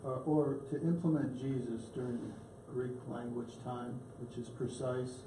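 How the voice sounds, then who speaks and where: A man's voice: medium pitch, level tone, measured pace. A man hesitantly asking his teacher a question in class. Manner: hesitant, groping for words. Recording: roomy echo, faint hiss.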